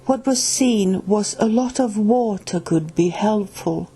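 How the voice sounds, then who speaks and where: A woman with a Finnish accent reads a book aloud at an unhurried, deliberate pace, her voice soft and a little breathy. She goes word by word, now and then stumbling and starting a phrase over, and her tone stays mellow and low-key throughout. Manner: careful, halting reading with restarts on hard words. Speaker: reader of a spiritual book.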